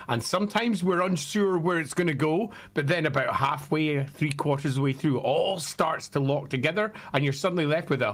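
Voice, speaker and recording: Slightly croaky man, casual and emphatic. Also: on the high side. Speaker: host. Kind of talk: casual fan talk and explanation.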